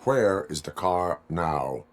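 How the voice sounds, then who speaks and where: A man voicing a stoic cyborg police officer in a video game, speaking in a formal, stilted, deadpan way. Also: sits low, slow pace. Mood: stern, measured.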